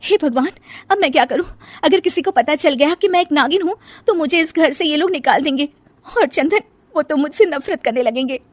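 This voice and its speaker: Woman voicing a high-pitched, anxious young serpent bride. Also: a little fast, no echo, natural voice. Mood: panicked. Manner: pleading, meek, apologetic. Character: anxious young shape-shifting serpent daughter-in-law, sweet and meek. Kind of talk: Hindi cartoon moral story.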